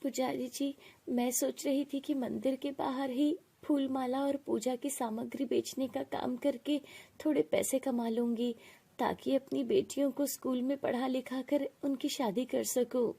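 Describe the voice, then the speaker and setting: A woman voicing a gentle, devout poor mother in an animated moral story, pleading and earnest. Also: high voice, measured pace, gravelly, slightly rough, voice pushed up, heavily acted. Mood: hopeful, humble.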